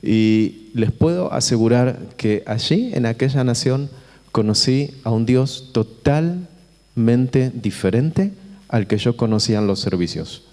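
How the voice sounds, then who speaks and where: A man from Buenos Aires with an Argentine accent, preaching informally to a congregation in a conversational, halting way, repeating phrases and checking in with his listeners. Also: mid-range voice, animated, warm tone. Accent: Argentine Spanish. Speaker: visiting preacher. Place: church auditorium. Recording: reverberant hall with long echo.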